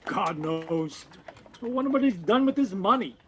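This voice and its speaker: An actor playing a matter-of-fact storyteller and city gentleman in an audio play, with scripted delivery. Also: sits high, animated, moderate pace. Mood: offhand. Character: storyteller narrator and casually indifferent city businessman. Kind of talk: scripted story narration and dialogue. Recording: dull audio-play recording, faint noise.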